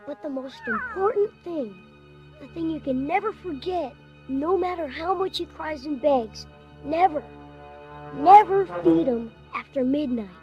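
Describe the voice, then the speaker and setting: A woman's voice: high voice, animated, unhurried, dull audio. A woman playing an earnest young Chinese boy in a storybook audio drama, with insistent, casual, slangy delivery. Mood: urgent, serious. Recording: music under the dialogue.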